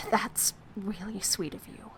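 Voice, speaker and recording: A woman's voice: mid-range voice, fairly even intonation, takes it slowly, fairly bright tone, a little hiss or hum. A woman playing a streetwise, cynical, protective young woman in an audio drama. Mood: coaxing. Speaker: voice actor.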